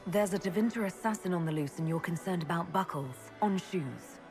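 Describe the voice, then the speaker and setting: An actress playing a composed female leader in a video game, speaking in an even, polite, inquiring way. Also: on the low side, a little fast, close to an everyday voice. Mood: serious. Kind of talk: video game dialogue acting. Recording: clear music behind the voice.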